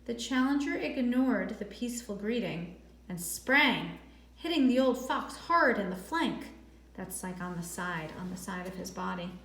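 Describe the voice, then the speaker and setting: A woman reading a storybook aloud like a teacher, expressive and deliberate, stretching words for drama and adding brief explanatory asides. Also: medium pitch. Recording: echoey, roomy sound.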